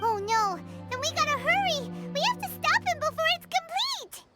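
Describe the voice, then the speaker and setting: Childlike fairy sidekick, very high and bouncy, over game music. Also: female voice, sing-song, moderate pace. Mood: alarmed. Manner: squeaky, bouncy, refers to herself by name. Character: tiny floating fairy companion, childlike and excitable. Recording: game audio with music behind.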